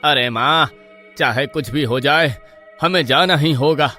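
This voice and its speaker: A man acts a determined young husband in a story drama. The voice is sharp and fairly high, earnest and firm. Faint music plays behind. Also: a little slow. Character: determined, worried young village husband and son.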